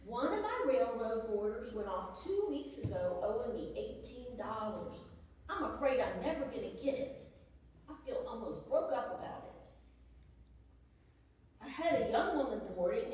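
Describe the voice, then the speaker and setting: A woman giving a plainspoken, deliberate dramatic reading of Civil War era letters. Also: a little high, sing-song, darkish tone. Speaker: presenter reading historical letters aloud. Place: large room at a live presentation. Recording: echoey room, distant mic, faint noise.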